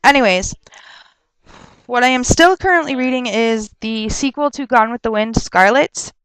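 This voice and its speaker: A young woman rambling casually on a webcam vlog, chatty and quick to laugh and rant, her nose stuffed up from a cold. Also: a little high, a bit bright, clear, resonant tone, no echo, poor audio. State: stuffed up with a sore throat. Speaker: vlogger answering viewer questions.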